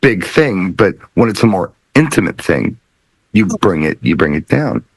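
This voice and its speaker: Male panelist, lowish voice with lively intonation, thoughtful conversational explainer who pauses deliberately. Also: slightly rough, no room sound, poor audio. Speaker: DJ talk show panelist. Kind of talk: casual explanatory chat.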